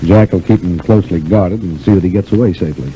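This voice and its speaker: An actor playing a calm, protective family friend in an old-time radio serial, reassuring and matter-of-fact. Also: male voice, sits low, fast talker, over music, close to an everyday voice. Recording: muffled, hissy old radio recording.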